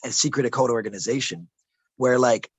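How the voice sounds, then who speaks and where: A man's voice: mid-range voice, fairly quick, breathy edge, dry recording. A man telling rambling, conspiratorial stories in a casual interview, calling the listener bro and man and stumbling over some words. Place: outdoors in a desert camp.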